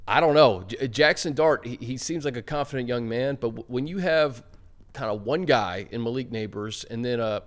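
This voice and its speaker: This is a man with a medium-pitched voice. He sounds like the host of a sports show, offering football thoughts in a relaxed, informal chat style.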